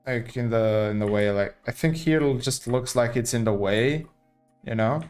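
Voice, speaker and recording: A man's voice: mid-range voice, moderate pace, a little mellow, clear, resonant tone, faint music behind. A young man from Portugal, casually thinking aloud as he live-streams a building game. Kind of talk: live gameplay commentary.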